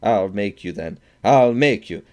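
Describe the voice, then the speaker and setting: A man voicing a burly, drunken Swede who blusters and shouts his demands. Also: deep voice, steady tone, normal speed, lightly acted. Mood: belligerent.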